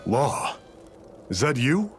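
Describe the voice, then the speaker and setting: A man's voice: medium pitch, sing-song, takes it slowly, faint music behind. An actor playing a middle-aged rebel leader and pleading father in a dramatic video game scene. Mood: stunned.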